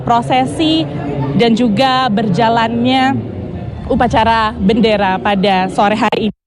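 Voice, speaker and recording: High-voiced woman reporting live over loud music. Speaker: TV field reporter. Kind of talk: live on-location news report.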